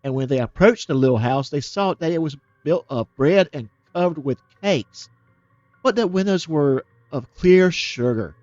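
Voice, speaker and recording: Male storybook reader, fairly high voice, plain steady reading; muffled dry recording with soft music bed. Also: normal speed, no echo. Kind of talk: reading a fairytale aloud.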